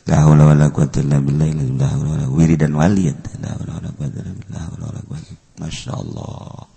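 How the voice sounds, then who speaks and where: An older man with a Sundanese accent giving a humorous sermon, telling animated stories, breaking into laughter and shouts. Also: fairly low pitch, breathy edge. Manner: animated storytelling, shouting and laughing at his own jokes. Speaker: Islamic preacher.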